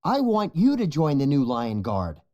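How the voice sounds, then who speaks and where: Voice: medium pitch, wildly swooping pitch, unhurried, warm tone, dull audio. A storybook narrator voicing a brave, earnest young male lion cub in an exclamatory way. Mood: eager. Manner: exclamatory, urgent.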